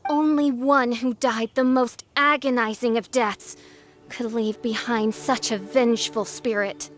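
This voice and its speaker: Woman as a high, grandiose teenage dark princess. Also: steady tone, a little slow, natural voice. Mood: ominous, dramatic. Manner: pompous, archaic, melodramatic. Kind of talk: video game character acting.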